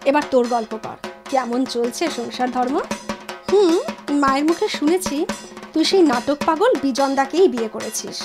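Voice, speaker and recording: Actress for teasing, coaxing woman reuniting with college friends, radio-play style, high girlish voice over prominent music. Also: lively intonation, normal speed. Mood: chatty, reflective. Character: playful Bengali woman back from London meeting old college friends. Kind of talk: Bengali audio drama acting.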